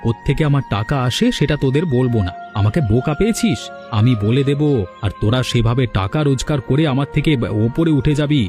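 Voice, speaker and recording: A man's voice: mid-range voice, lively intonation, quick pace, music in the background, close to an everyday voice. A man voicing a boastful rich college boy. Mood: arrogant. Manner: arrogant, condescending. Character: boastful rich young male college student.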